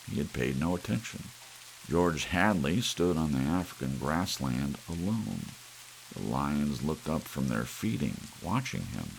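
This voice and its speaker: A man narrating an audiobook story in an ASMR reading style, with soft rain behind him. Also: very deep voice, creaky, a little hiss or hum, low-quality recording.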